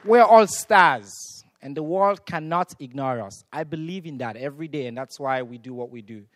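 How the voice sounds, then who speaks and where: A man's voice: on the high side, lively intonation, moderate pace, no echo. A man from Sierra Leone moderating a youth forum, rousing the crowd and calling out questions to the room. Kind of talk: energetic audience moderation.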